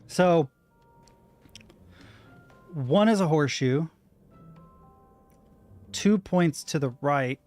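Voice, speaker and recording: Brief: young male gamer, slightly high voice, mumbles his way through a puzzle. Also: animated, very slow, pauses often, darkish tone, soft background music. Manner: thinking aloud, muttering to himself. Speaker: gaming streamer. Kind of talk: let's play commentary. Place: room at home with a window and a rattling door.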